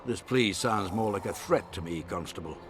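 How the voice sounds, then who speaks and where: A man playing a very deep-voiced, menacing baron. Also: moderate pace, slight background noise, lightly acted. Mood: guarded, menacing. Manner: guarded, menacing. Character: scheming, cornered, haughty male baron. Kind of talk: video game dialogue acting.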